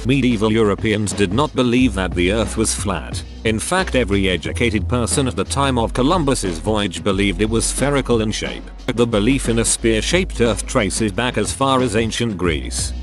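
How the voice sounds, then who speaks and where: A man's voice: on the low side, moderate pace, hardly any pauses. A man narrating forum posts in a wry, scripted reading. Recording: clear music bed underneath.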